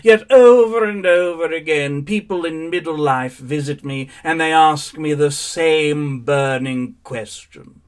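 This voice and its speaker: A man's voice: fairly high pitch, a little slow, hardly any pauses, voice pushed up, close to an everyday voice. An actor playing a witty, world-weary elderly male raconteur in an arch, languid theatrical monologue. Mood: arch, droll. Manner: arch, languid, epigrammatic delivery. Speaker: actor in a one-man stage show.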